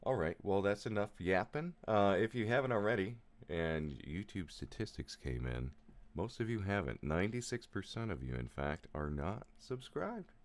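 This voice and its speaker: A man with a low voice, casually walking through synth settings on a live stream, rambling with lots of fillers. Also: moderate pace. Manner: relaxed, rambling explanations with many fillers. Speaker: music producer streaming a live session. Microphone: studio mic through a vocal chain. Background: synth music playing.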